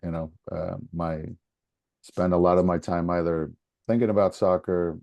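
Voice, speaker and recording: A man from Portugal with a Portuguese accent, talking casually as a guest in a hesitant, halting way. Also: low voice, steady tone, creaky, dry recording, highs cut off. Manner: hesitant, halting, repeating words. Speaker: podcast guest, soccer coach. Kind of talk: casual conversation.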